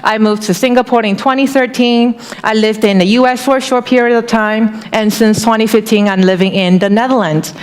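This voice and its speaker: A woman from Taiwan speaks from a conference stage in a relaxed, chatty way, often turning to the audience with questions. Her voice carries a long reverb from a large hall. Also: on the high side, brisk, few pauses, smooth. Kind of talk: conference talk.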